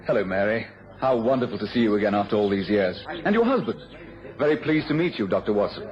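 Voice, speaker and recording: A male actor plays an effusive charmer in a radio play. His voice is fairly low and swings expressively through his lines. The vintage recording is dull. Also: animated, fast talker, darkish tone, close to an everyday voice. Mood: charming. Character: smooth-talking, effusive old flame of a married woman. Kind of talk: mid-century British radio drama acting.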